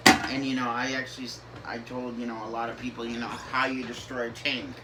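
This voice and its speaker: A man's voice: medium pitch, level tone. Rambling young man over game noise. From northern United States. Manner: halting, many fillers and restarts. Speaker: gaming YouTuber. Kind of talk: rambling casual commentary over gameplay. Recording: game engine noise behind.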